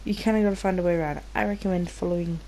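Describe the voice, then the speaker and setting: A British woman hosts a gameplay video in a fairly low, soft-edged voice. She rambles informally and swears freely, a little nasal from a cold. There is slight noise and game ambience behind her. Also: steady tone, rapid-fire, poor audio. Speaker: gaming video host. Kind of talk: casual gameplay commentary.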